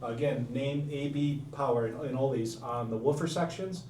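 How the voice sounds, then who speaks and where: A man's voice: medium pitch, normal speed, a little mellow, recorded from a distance. A man giving casual technical product details as an audio dealer. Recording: roomy, off-mic, faint background noise.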